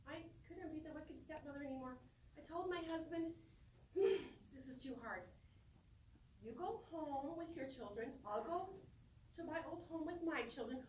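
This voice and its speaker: A middle-aged woman giving a humorous storytelling speech to a live audience, expressive and theatrical, with dramatic pauses and drawn-out words. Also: sits high. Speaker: speech contest competitor. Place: roomy indoor space before an audience. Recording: echoey room, distant microphone, faint noise.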